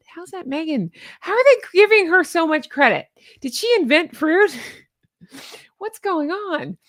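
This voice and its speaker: A woman's voice: high-pitched, creaky, fairly bright tone, dry recording. A woman in her thirties with a Southern US accent, hosting a chatty, sarcastic commentary vlog from a home studio mic. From American South. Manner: chatty, sarcastic, laughs often. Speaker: YouTube commentary host. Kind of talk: casual reaction vlog. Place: home studio with neon lights. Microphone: studio mic on boom arm.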